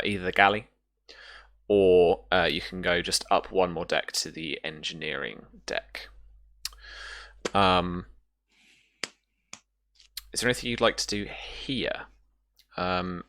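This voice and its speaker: A woman with a very low, bright-edged voice runs a tabletop roleplaying game. She narrates informally, thinking aloud and hesitating as she sets out choices for her players. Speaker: game master.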